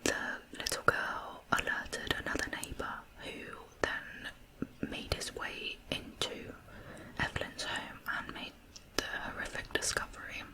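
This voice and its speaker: A person narrating true crime in ASMR style, whispering softly with deliberate, unhurried phrasing. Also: rough recording.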